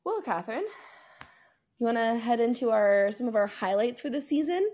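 A woman's voice: on the high side, animated, moderate pace, a little mellow. A woman co-hosting a podcast in casual sports chat, speaking in an emphatic, opinionated way full of hyperbole. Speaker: podcast co-host.

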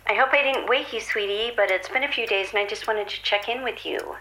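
A woman's voice: mid-range voice, brisk, sharp tone, close to an everyday voice, rough recording. An actress playing a doting, worrying middle-aged mother leaving an affectionate, chatty, fussing voicemail in an audio drama. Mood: cheerful.

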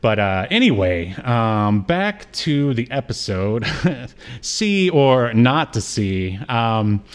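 A man's voice: mid-range voice, sing-song, slow pace, clean tone. Casual, jokey man co-hosting a podcast. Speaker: podcast co-host. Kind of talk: casual comedic recap chat about a TV show.

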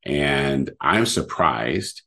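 A middle-aged man with a notably deep voice talks casually from his living room, his pitch swinging up and down with animation. He rambles a little, piling on fillers as he chats like a podcast host. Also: very slow, vocal fry. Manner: rambling, lively, full of fillers. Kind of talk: casual chat.

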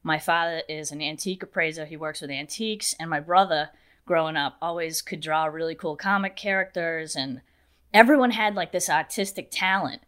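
Young woman guest, notably low voice, chatty storyteller who laughs often. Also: moderate pace, fairly bright tone, smooth. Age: 20s. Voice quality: deep voice. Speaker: guest, makeup artist. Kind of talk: casual interview chat.

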